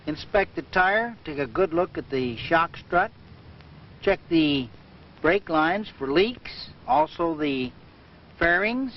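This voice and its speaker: A man giving methodical, measured instruction in the manner of a training film, as a flight safety instructor. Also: a little high. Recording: muffled noisy vintage training film soundtrack.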